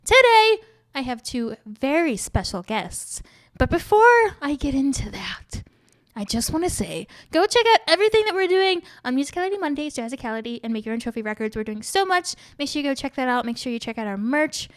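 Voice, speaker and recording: Bubbly woman in her twenties, podcast host, high voice, dry close sound. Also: sing-song, brisk, no echo. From Chicago, Illinois. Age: 20s. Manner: bubbly and giggly, slangy. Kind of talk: casual podcast chat.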